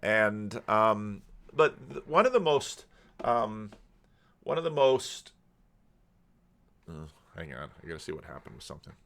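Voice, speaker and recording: A man giving casual, unscripted commentary in a rambling, halting way, often restarting his sentences. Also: on the low side, very animated, gravelly, a bit bright. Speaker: YouTube commentator and pastor.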